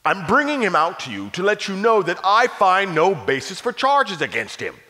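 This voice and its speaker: A man's voice: high-pitched, wildly swooping pitch, normal speed, sharp tone, in a large hall, pitched above the performer's own voice, full character voice. A man voicing an uneasy middle-aged Roman governor, insistent and questioning.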